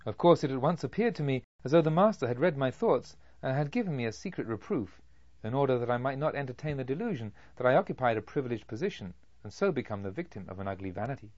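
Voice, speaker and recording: Male audiobook narrator, solemn and measured, with animated intonation; slightly dull, dry recording. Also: mid-range voice, no echo. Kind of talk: scripted literary narration of a Buddhist novel.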